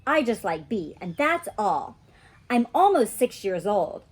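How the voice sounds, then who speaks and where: Woman playing an outspoken, animated little kindergarten girl. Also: mid-range voice, unhurried, husky. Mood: matter-of-fact. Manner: childish, blunt, expressive delivery. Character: outspoken, stubborn five-year-old girl starting kindergarten. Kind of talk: children's storybook read aloud.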